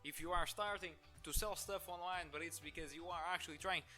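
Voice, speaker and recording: Portuguese-accented young man, bright voice. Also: fairly high pitch, steady tone, brisk, soft background music. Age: 20s. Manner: opinionated, many restarts and filler. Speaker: business owner. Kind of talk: talking-head monologue to camera.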